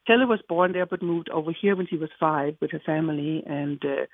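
A woman's voice: sits low, fairly quick, dry recording. A middle-aged woman from Denmark with a Danish accent, an author chatting as a guest in an interview, cheerful and rambling. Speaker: guest, children's book author. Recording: tinny phone line.

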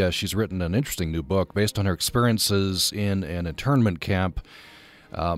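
A man hosting a radio interview, speaking thoughtfully with frequent pauses. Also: deep voice. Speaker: radio show host.

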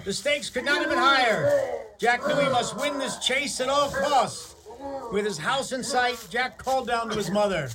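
A man's voice: very high-pitched, normal speed, crowd noise. A man narrating a fairy-tale radio play in a dramatic storybook style, theatrical and wry. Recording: clearly noisy background.

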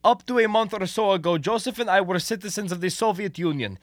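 A man plays an earnest Soviet defector in a radio comedy. The voice is notably high for a man and recorded close and dry. He speaks with courteous, formal, slightly stilted phrasing. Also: fast, no room sound, close to an everyday voice. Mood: confessing, anxious. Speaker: student radio actor. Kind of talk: comedic radio play acting.

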